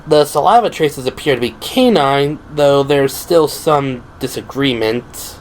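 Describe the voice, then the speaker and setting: A man voicing a composed, earnest young woman officer in an audio drama, giving a measured, analytical briefing. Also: medium pitch, expressive, slight background noise, pitched above the performer's own voice, close to an everyday voice, rough recording. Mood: earnest, warming up.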